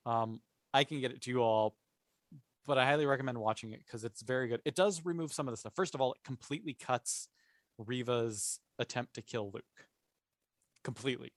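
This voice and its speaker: A man's voice: mid-range voice, fairly quick, no room sound, studio quality. A man talking casually and with emphatic enthusiasm as a panelist in a fan discussion podcast.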